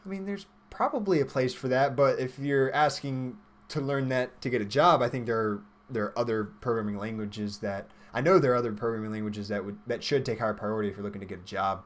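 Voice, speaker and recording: Guy in his 20s on a livestream, casual, kinda rambling, thinks out loud while answering chat, medium pitch. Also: a little fast. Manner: informal, rambling, thinks aloud. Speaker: livestream host, software developer.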